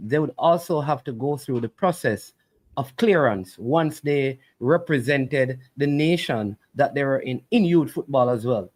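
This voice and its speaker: A man hosting a sports talk show, politely steering callers and carefully explaining points. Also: medium pitch. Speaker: show host.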